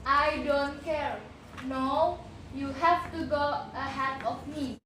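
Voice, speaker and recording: Woman playing a high-pitched, bratty young daughter. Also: fairly even intonation, slow, fairly bright tone. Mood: defiant, dismissive. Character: rude, ungrateful young daughter, demanding and defiant. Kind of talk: amateur puppet show acting. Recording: echoey room with background noise.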